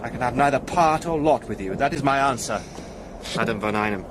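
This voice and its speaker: A man's voice: medium pitch, fairly quick, background noise, close to an everyday voice. A man playing a wry, loyal British officer. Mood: resolute. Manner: steady and plain-spoken, with dry understatement. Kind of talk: radio drama acting. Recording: radio play with loud storm and battle effects.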